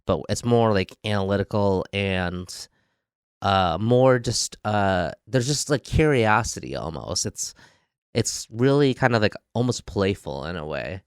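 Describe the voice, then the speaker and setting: A man's voice: fairly low pitch, animated, measured pace, clear, resonant tone, no echo, studio quality. A man in his thirties with a Midwestern accent, co-hosting a podcast. He talks in a thoughtful, casual way and peppers his speech with "like.". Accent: Midwestern American. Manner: thoughtful, lots of "like" fillers. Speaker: podcast co-host. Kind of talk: casual chat. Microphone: podcasting mic.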